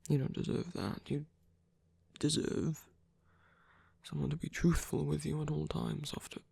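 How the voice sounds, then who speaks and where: Breathy, creaky man acting a weary tender villain. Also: fairly high pitch, little pitch movement, dry recording, heavily acted. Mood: tender, earnest. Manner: hesitant, halting, soft-spoken. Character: weary, tender male villain, bedridden with pain. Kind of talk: intimate ASMR roleplay acting.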